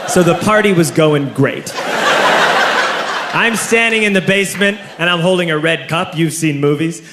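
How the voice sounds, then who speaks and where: A man's voice: a little high, normal speed. A man telling a story as a stand-up comedian, with an emphatic, theatrical delivery, in front of a live audience. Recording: roomy live stage recording with loud audience. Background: laughing crowd, background noise.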